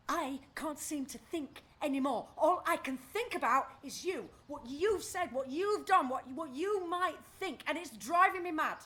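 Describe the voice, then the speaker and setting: A woman playing a brusque, socially awkward, overwhelmed woman in a naturalistic audio play, speaking bluntly in halting, repeated phrases. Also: high-pitched, fairly bright tone. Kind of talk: naturalistic audio drama acting.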